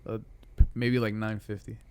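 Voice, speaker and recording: A man's voice: on the low side, flat delivery, normal speed, a little mellow, slight background noise. A man of twenty-four chatting casually as a podcast guest, with slangy, profane language. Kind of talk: casual chat.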